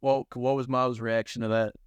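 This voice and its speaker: A man's voice: medium pitch, flat delivery, moderate pace, clean tone, dry recording, muffled recording. A man co-hosting a podcast in a casual, conversational style. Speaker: podcast co-host. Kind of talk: casual chat.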